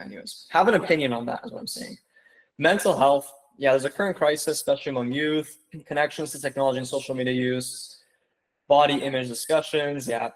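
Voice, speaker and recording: A man's voice: medium pitch, poor audio. A young man giving a casual online seminar, rambling with lots of "like" and "you know" and calling his viewers "guys.". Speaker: webinar presenter.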